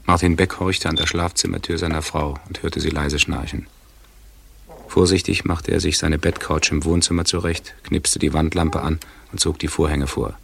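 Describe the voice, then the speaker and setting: A man reading a crime novel aloud in a calm, measured way, gravelly voice. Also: very deep voice, a little hiss or hum, full character voice. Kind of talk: archive audiobook reading.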